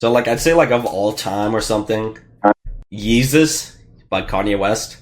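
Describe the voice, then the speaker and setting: A man's voice: lowish voice, measured pace, soft background music. A young adult man chatting casually as a host answering questions, in an informal, slangy and somewhat hesitant way. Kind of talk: casual chat.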